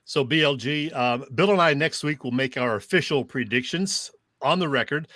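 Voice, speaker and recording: A man with an average-pitched voice co-hosts a casual sports talk show. The sound is dry, with no room echo, and his delivery is conversational. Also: normal speed, sharp tone. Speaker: sports radio show co-host.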